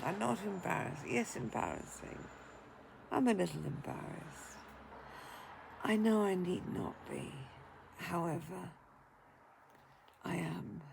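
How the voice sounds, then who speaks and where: An older woman speaks in a tired, croaky, husky voice with a gravelly edge. She talks very slowly and haltingly, pausing to take deep breaths. A steady hiss of noise sits under her casual home vlog. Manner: slow and hesitant, taking deep breaths before speaking. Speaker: vlogger.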